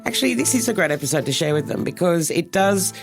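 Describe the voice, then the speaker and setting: Booming-voiced Australian woman celebrant chatting. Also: sing-song, gravelly, over music. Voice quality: booming, projecting voice. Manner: chatty and joking, quick asides. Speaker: wedding celebrant, podcast co-host. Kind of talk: casual podcast chat.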